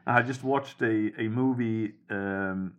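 A man hosting a casual podcast chat about hockey, talking things through at an even, measured pace. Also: lowish voice, muffled recording. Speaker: podcast host.

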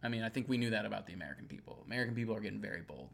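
A man's voice: lowish voice, racing, vocal fry. A man co-hosting a podcast, telling stories in casual chat and acting out what people said. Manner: animated storyteller, acts out quotes. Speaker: podcast co-host.

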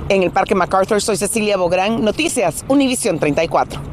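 A woman's voice: mid-range voice, animated, a little fast, a little hiss or hum. A woman delivering a scripted television field report as a news reporter.

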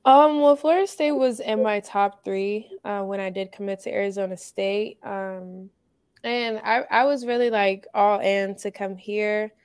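A young woman from Finland, a college basketball guest, answering interview questions casually with many hesitations and soft laughs. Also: medium pitch, steady tone, a little slow, clear, resonant tone, no room sound. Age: young adult.

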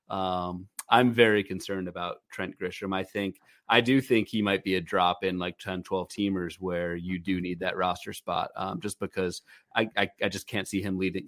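A man's voice: deep voice, a bit bright, dry recording, clean studio sound. A man in his thirties co-hosting a podcast, talking through fantasy baseball players casually with lots of fillers and restarts. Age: 30s. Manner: thinking aloud, many fillers and restarts. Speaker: podcast co-host.

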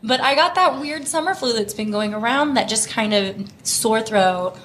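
A woman hosting a casual podcast chat, slightly hoarse, talking through health tips with lots of fillers. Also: a little high, moderate pace, fairly bright tone, a little hiss or hum. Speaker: host. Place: podcast table in a home studio.

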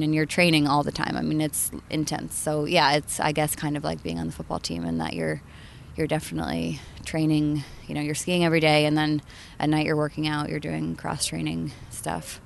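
A woman's voice: low-pitched, completely flat delivery, normal speed, gravelly, slight background noise. A woman from Maine, a journalist in her thirties, chatting as an interview guest, laughing often and trailing into fillers. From Maine, USA. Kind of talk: casual interview conversation.

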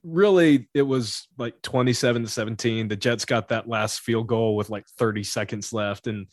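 Male co-host, mid pitch, dry close mic, easygoing and laughing. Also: normal speed, no echo. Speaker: podcast co-host. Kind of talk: casual chat.

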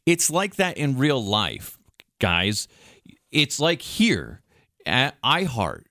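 A man's voice: mid-range voice, wildly swooping pitch, slow, sharp tone, no echo, studio quality. A man hosting a casual sports podcast, speaking in a blunt, informal, slangy way. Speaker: podcast host, radio station worker.

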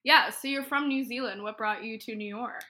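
A woman's voice: fairly high pitch, moderate pace, bright, forceful tone. A woman hosting a casual interview, asking questions in a chatty, informal way. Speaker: podcast host interviewing a guest. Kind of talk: casual chat.

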